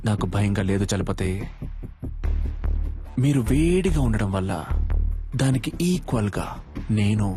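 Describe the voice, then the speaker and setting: An actor playing a calm, menacing hostage-taker in a film, speaking in a measured, coolly polite way on the phone. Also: male voice, fairly low pitch. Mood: calm, taunting. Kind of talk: film drama dialogue. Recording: film audio with loud background music.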